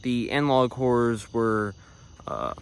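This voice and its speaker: A man's voice: mid-range voice, very animated, unhurried, vocal fry, a little hiss or hum. A man hosting a reaction video in casual, rambling commentary full of filler "uh"s.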